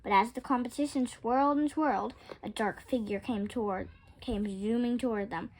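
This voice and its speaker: A woman reading a children's picture book aloud with expressive, dramatic storytelling. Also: on the high side, moderate pace, rough recording. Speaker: storytime reader.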